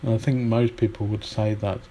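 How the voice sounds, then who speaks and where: A man's voice: on the low side, flat delivery, a little fast, dark tone. A man from Britain whose British English accent carries some Polish influence, teaching an informal English lesson in a rambling, hesitant way with many fillers and restarts.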